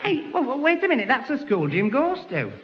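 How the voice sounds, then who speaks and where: A man's voice: extremely high pitch, huge pitch swings, brisk, heavily acted. A man playing an earnest, dim-witted young man who stammers and fusses in a 1960s radio sitcom. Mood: flustered. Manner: stammering, flustered. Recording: old 1960s radio broadcast, dull, reverberant, faint hiss.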